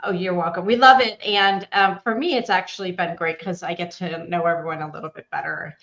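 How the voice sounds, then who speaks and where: A woman's voice: fairly low pitch, fairly even intonation, fairly quick, creaky, a bit bright, muffled recording. A woman hosting a casual interview as a company art director, chatty and rambling, laughing easily.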